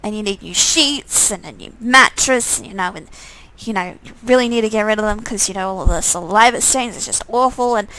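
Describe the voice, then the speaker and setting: A woman's voice: mid-range voice, brisk, few pauses, bright, forceful tone, rough recording. A woman reading a visual novel game aloud in dramatic narration, breaking off for casual, off-the-cuff comments.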